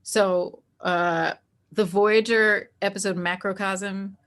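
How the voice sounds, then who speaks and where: A woman's voice: medium pitch, steady tone, takes it slowly, a bit bright. A woman speaking as an interview guest in a casual, conversational way, thinking aloud as she explains things. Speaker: interview guest, tabletop game master.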